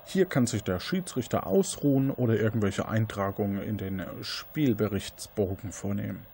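A man's voice: on the low side, normal speed, vocal fry, darkish tone. Man narrating over background noise. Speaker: host and game master. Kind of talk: improvised narration of an interactive mystery game.

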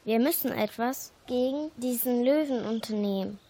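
A woman playing a worried, sensible bull in an amateur children's fable radio play, speaking earnestly and deliberately. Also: a little high, mellow. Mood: earnest, resolute.

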